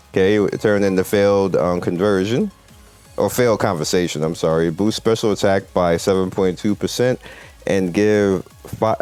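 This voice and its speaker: Low-voiced man doing gameplay commentary over music. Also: a little mellow. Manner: emphatic, repeats points for emphasis. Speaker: host of a mobile game video channel. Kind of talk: casual gameplay commentary, talking to viewers and developers.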